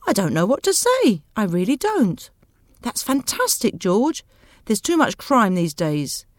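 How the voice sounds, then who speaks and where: A woman's voice: mid-range voice, wildly swooping pitch, a little slow, dry recording, clean studio sound. Woman putting on a nosy, emphatic workmate, male. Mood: astonished. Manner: emphatic, exclaiming. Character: nosy, astonished, admiring male factory friend.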